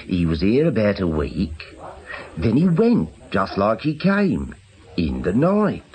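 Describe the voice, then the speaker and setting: Very deep, gossipy British caretaker. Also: male voice, huge pitch swings, warm tone, heavily acted. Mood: confiding. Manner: rambling, confiding, unhurried. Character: gossipy working man, chatty clinic caretaker. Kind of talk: naturalistic radio drama acting.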